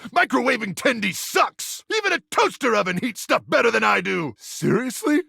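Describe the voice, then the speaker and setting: A man voicing a macho, melodramatic microwave who acts like a gung-ho action hero in a video game, with a gravelly, husky voice and bombastic, theatrical delivery. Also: very high voice, very animated, moderate pace, dry recording, clean studio sound, heavily acted. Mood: wounded, whiny. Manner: bombastic, theatrical swings from brooding to shouting. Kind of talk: video game character acting.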